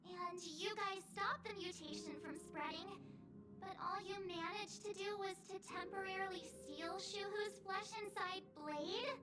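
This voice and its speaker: A woman's voice: very high-pitched, a little slow, distant mic, music in the background. A woman voicing a small, childlike, fretful and chatty companion in a video game, with a dramatic, complaining manner. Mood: curious.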